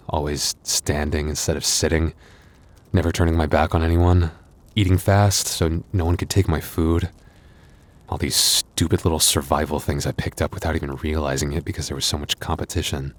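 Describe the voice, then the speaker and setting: Guy doing a really low voiced werewolf bf, sweet n nervous, halting intimate asmr roleplay. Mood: reflective, uneasy. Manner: intimate, hesitant, soft-hearted, halting. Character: gentle, anxious young male werewolf boyfriend. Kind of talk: boyfriend ASMR audio roleplay.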